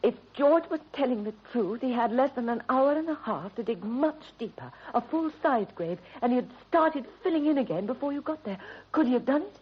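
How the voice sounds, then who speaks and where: Worried British wife, muffled old recording. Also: female voice, high voice, lively intonation, brisk, hardly any pauses, slightly rough. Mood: uneasy, probing. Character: worried, shrewd, loyal wife, adult woman. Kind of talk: period British radio drama acting. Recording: dull vintage radio recording, faint hiss.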